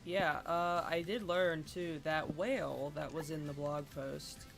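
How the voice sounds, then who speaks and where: Congested streamer, hesitant and halting. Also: mid-range voice, fairly even intonation, a bit bright. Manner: hesitant, many ums and restarts; keeps clearing the throat. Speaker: live streamer playing a modded block-building game. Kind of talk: casual live-stream chat while playing. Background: game music.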